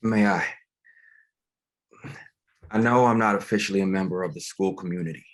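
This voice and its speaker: Man acting as humble male pastor, lowish voice, polite, gently exhorting, table-read drama. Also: normal speed, natural voice. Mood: encouraging. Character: humble, encouraging male pastor urging courage.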